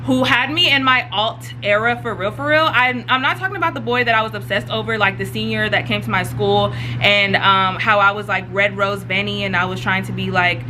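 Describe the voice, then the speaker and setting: A woman's voice: mid-range voice, steady tone, a little fast, few pauses, sharp tone, faint music behind, low-quality recording. A young woman from Atlanta, telling a storytime in a chatty, rambling way full of fillers. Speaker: YouTuber. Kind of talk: casual storytime.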